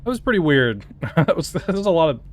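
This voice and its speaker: A man playing and reviewing a game, thinking aloud in casual, laid-back, slightly halting commentary. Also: fairly high pitch, very animated, a little hiss or hum.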